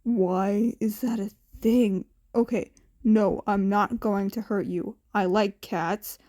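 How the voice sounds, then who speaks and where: A woman voicing a blunt, protective grown man in a podfic story, with a dry, deadpan manner. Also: mid-range voice, takes it slowly, voice pushed down, close to an everyday voice. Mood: appalled. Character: gruff, dry but protective adult man, hero.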